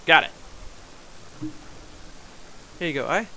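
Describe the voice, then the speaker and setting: A man's voice: on the high side, expressive, slow pace, gravelly, pushed and bright, a little hiss or hum, low-quality recording. A man livestreaming a game with casual commentary, muttering to himself and reading choices aloud. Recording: game music faintly behind.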